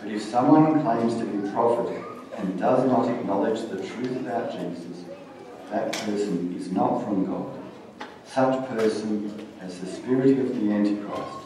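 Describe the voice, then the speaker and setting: A middle-aged man preaching a sermon and reading scripture in a measured, plain-spoken way. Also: on the low side, crowd noise. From Australia. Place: church stage, indoors. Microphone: stand mic at lectern, heard from far camera. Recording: distant, very echoey church hall recording.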